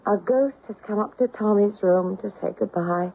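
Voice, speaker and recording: Husky, earnest radio-drama housewife. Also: female voice, mid-range voice, moderate pace. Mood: wondering. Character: relieved housewife and mother, earnest believer in ghosts. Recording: muffled phone-like old radio recording.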